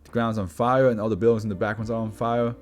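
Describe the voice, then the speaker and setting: A man's voice: lowish voice, flat delivery, quick pace, soft background music, natural voice. A man voicing a volatile condemned prisoner who is coming unravelled, speaking with agitated intensity in a story reading. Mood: panicked.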